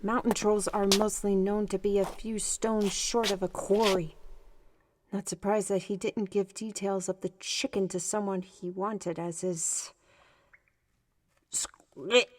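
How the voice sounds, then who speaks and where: Wry, commanding adventurer, female actor, mid-pitched and unhurried, shepherding youngsters in fantasy audio drama. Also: fairly even intonation, background noise, close to an everyday voice. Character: bossy, wry adult adventurer taking charge of youngsters.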